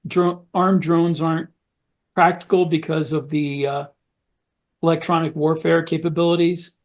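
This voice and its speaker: A man on a podcast panel giving blunt opinions in a casual, halting way, with many fillers. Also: a little high, level tone. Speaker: podcast co-host and author.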